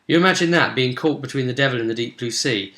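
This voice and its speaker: Male game master, bright and keen-edged, informal storytelling with thinking-aloud pauses, echoing room. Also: medium pitch. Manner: chatty, halting, thinks aloud with asides and self-quotes. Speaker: game master and vlogger recapping tabletop sessions. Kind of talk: informal solo recap, storytelling. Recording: roomy, echoing sound.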